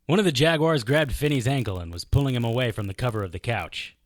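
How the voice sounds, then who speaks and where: A man playing a terse, deadpan private investigator in a radio drama, both narrating and in dialogue. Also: medium pitch, quick pace, very noisy, close to an everyday voice. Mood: grim narration. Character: dry, world-weary male private investigator hero. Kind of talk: radio drama acting and hardboiled first-person narration.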